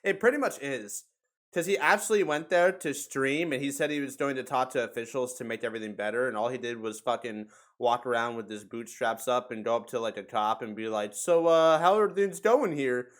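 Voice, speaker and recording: A man's voice: mid-range voice, fairly quick, a bit bright. A man hosting a casual podcast, bantering and commenting in a sarcastic, profane way. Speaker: podcast host.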